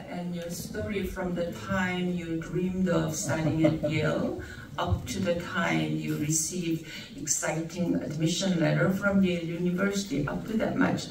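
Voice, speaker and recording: A moderator with a gravelly, creaky voice calls on the panelists in a casual, easygoing way. The voice is heard from across a roomy space, set back from the mic. Faint background noise sits underneath. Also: mid-range voice. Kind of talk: hosting a panel. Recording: roomy and distant.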